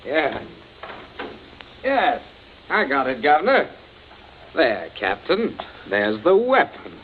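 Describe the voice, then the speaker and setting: A man's voice: medium pitch, huge pitch swings, slow pace. An actor playing an eager, casually cruel henchman, breezy and taunting, in a vintage radio suspense play. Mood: mocking. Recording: tinny, hissy old radio broadcast.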